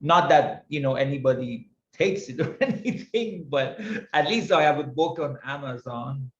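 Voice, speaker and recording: A man in casual group chat on a video call, talking slowly and haltingly in a reflective way. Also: fairly high pitch, expressive, fairly bright tone. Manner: slow, halting, reflective, trailing off. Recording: echoey, muffled video call audio.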